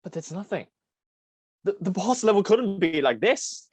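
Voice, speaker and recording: A man playing a distraught, protective young male gamer in staged reader's theatre, emotional and halting. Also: sits high, expressive, a little mellow, breathy edge, dry recording, full character voice. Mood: bewildered.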